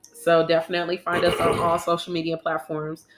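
A woman's voice: lowish voice, completely flat delivery, brisk, a little hiss or hum. A young woman co-hosting a podcast in casual, chatty talk, congested from a cold and laughing often. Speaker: podcast co-host.

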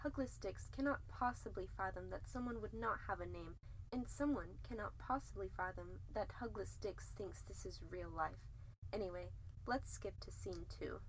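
A woman's voice: mid-range voice, moderate pace, slight background noise, low-quality recording. A young woman co-hosting and narrating a student podcast in a casual, playful style. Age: young adult. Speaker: co-host and narrator.

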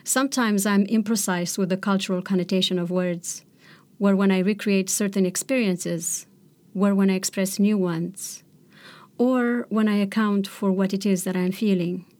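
A middle-aged woman from Romania, a poet reading her work and answering interview questions in a thoughtful, measured way. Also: mid-range voice, fairly even intonation, a little mellow. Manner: thoughtful, measured, searching for words.

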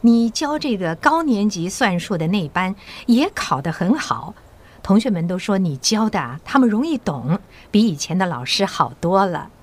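An actress playing a mature, patriotic school principal in an audio drama, encouraging and persuasive with measured authority. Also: female voice, mid-range voice, very animated. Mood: kindly, encouraging.

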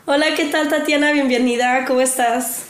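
A woman hosts a live talk in a slightly high voice. She is friendly and welcoming as she introduces her guest. The sound carries a noticeable room echo. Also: steady tone, moderate pace, soft background music. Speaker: interview host.

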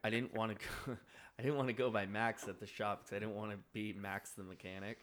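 A man's voice: fairly low pitch, flat delivery, fairly quick, fairly bright tone. A man in casual podcast banter, explaining himself in a laid-back, shrugging way. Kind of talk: casual banter among friends.

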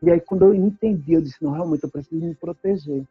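Husky-voiced woman. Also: deep voice, fast, no echo, narrow, tinny audio, soft background music. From Brazil. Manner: earnest, emphatic storytelling, tag questions. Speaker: activist speaker. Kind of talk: personal testimony talk.

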